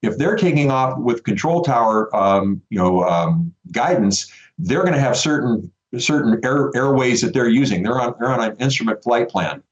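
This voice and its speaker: A man's voice: mid-range voice, animated, moderate pace, few pauses. Dull lo-fi feed, male expert guest. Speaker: veteran pilot, expert guest. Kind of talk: conversational expert explanation. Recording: dull, lo-fi remote connection.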